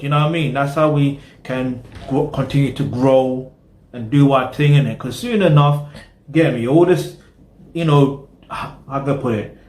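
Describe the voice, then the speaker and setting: A man from Britain talks loosely to camera, circling back on himself and tossing in fillers. His voice sits at an ordinary pitch. The room around him rings with noticeable echo. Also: darkish tone, a little hiss or hum. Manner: chatty and rambling, full of "you know what I mean". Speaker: music reaction vlogger. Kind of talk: casual reaction commentary.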